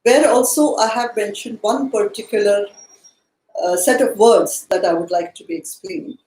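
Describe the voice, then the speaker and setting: A woman hosting a book study, speaking in a measured way as she sums up points and poses questions. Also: mid-range voice, a little mellow. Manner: measured, summarizing and posing questions. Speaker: study session host. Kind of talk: guided book study discussion. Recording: echoey, roomy sound.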